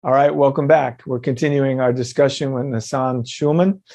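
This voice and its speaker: A man's voice: medium pitch, fairly even intonation, moderate pace, dark tone, dry recording, faint music behind. A man hosting a conversational interview show, affirming his guest and feeling his way through his phrasing with some hesitation.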